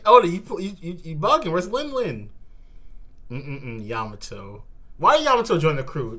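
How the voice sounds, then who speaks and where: A man hosting a live manga reaction, reading dialogue aloud and commenting with animated exclamations between slower read-aloud passages. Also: medium pitch.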